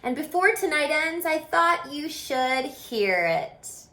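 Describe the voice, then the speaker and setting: Woman as an earnest little boy elf, high-pitched. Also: very animated, slow, pushed and bright, exaggerated voice. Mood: tender, singing. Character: small earnest, selfless male Christmas elf. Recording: roomy, echoing room sound.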